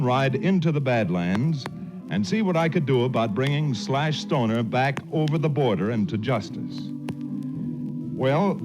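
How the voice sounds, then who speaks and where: An old, muffled radio western recording with music behind. A man plays a genial frontier lawyer telling his adventure in a medium-pitched voice, swinging his phrases with lively, plain-spoken confidence. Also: natural voice. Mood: storytelling. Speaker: lead actor and narrator. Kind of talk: 1940s radio western narration.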